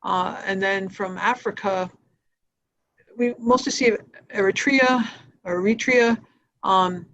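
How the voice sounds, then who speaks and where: A woman answering questions as a nonprofit executive, explaining programs in a halting, matter-of-fact, informal way. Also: mid-range voice. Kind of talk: informal spoken presentation on a video call. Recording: dull, lo-fi livestream audio.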